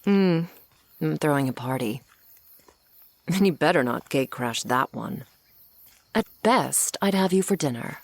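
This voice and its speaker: A woman playing a teasing, menacing century-old female vampire in an intimate ASMR roleplay, sardonic and unhurried, sometimes slipping toward a whisper. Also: low-pitched, expressive, no room sound, heavily acted. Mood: playful boasting.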